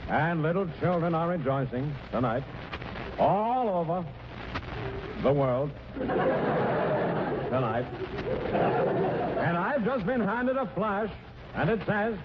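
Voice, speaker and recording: Through heavy noise on a 1940s radio broadcast comes a pompous news commentator. His pitch is highish and very lively, with theatrical pauses and overblown emphasis. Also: dull audio. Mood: gushing, triumphant. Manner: pompous, theatrical, halting dramatic delivery. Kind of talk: 1940s radio comedy acting.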